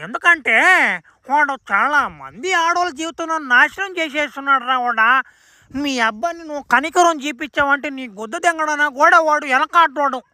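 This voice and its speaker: A vengeful old grandfather from a homemade cartoon, played by a man, high and very bright in tone, with a dry sound. He is crude, colloquial and insistent as he urges the listener on. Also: normal speed, no room sound, full character voice. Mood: vengeful, urging. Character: scheming, foul-mouthed old grandfather, vengeful and urging. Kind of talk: amateur cartoon dub.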